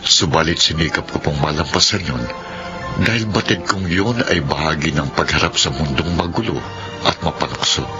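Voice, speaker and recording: A man's voice: a bass voice, moderate pace. An actor with a Filipino accent playing a remorseful, protective middle-aged ex-convict father in an emotional radio drama. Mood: solemn, testifying. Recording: dull lo-fi broadcast, loud music behind.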